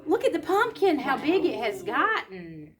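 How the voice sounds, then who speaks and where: A woman reads a picture book to young children. Her voice is high and her pace is slow. She breaks from the story to explain things in simple words and put questions to her listeners. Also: big pitch swings, crowd noise. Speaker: children's educator reading a storybook aloud.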